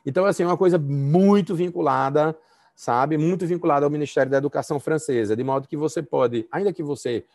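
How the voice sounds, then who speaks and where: A man's voice: on the high side, fast talker, vocal fry, a little mellow, dry recording, studio quality. A Brazilian man, a law professor, giving a conversational university lecture and feedback over a video call, with frequent fillers and restarts.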